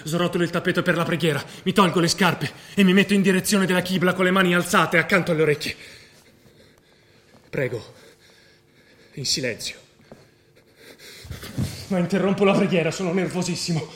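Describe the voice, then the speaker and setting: A man's voice: high-pitched, fairly quick, pauses often, fairly bright tone. An actor playing a devout, nervous young would-be bomber, telling his own actions in short phrases in a stage drama. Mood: tense. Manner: short declarative present-tense phrases. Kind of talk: first-person stage monologue in radio drama. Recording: roomy stage recording with faint noise.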